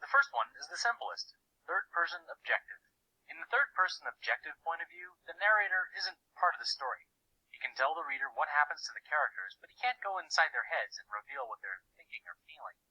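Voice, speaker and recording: An earnest, slightly put-upon cartoon boy explains things in a conversational, wry way. The voice is sharp and bright with some rasp. The pitch moves around in an animated way. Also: fairly quick, muffled recording, exaggerated voice. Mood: explaining. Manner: clear explanatory delivery with wry asides.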